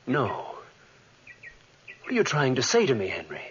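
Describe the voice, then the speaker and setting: Husky, haunted scientist. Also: male voice, medium pitch, wildly swooping pitch, normal speed, heavily acted. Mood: evasive, weary. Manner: melodramatic, dramatic narration. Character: haunted, guilt-ridden scientist telling his own tale, tense and evasive. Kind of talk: 1940s radio drama acting. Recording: muffled 1940s radio recording.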